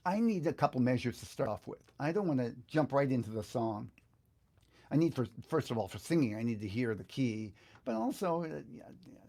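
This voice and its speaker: A middle-aged man giving a casual step-by-step tutorial, unhurried and informal, often thinking aloud as he works. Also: medium pitch, vocal fry, dark tone. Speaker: guitar teacher giving a software tutorial.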